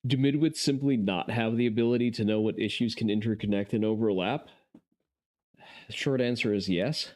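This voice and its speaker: A man's voice: fairly low pitch, moderate pace, darkish tone. A man hosting a casual chat stream and reacting to videos, with sarcastic, profane ranting.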